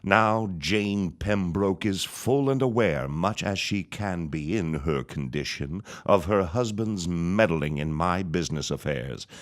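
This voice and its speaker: A scheming villain reverend for an audio drama, his very low voice swooping with gloating glee. He speaks slowly, savoring every threat. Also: lively intonation. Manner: sinister, gloating, cackling.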